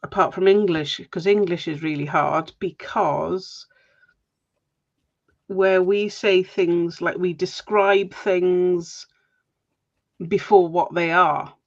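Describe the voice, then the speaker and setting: A Welsh woman chatting casually while she crafts, friendly and quick to laugh. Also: lowish voice, steady tone, dull audio. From Wales.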